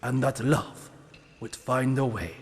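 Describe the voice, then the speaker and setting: An old rat sensei, acted by a man, speaks with solemn, formal care. The pace is very unhurried at a middle male pitch. Long reverb surrounds this dramatic fatherly figure. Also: natural voice. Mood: grave, sorrowful. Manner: formal, measured, grave. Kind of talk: dramatic audio drama acting. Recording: long reverberant hall echo.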